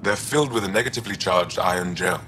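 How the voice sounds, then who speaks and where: An actor playing a calm, courteous alien doctor in a video game, speaking in a measured, formal way. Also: male voice, deep voice, slight background noise. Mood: measured, curious.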